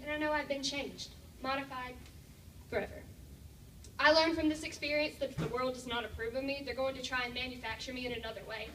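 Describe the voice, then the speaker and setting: A teenage girl performs spoken-word poetry with a high voice and a deliberate, theatrical delivery. She is heard from some distance in a very echoey room, with faint noise underneath. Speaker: slam poet.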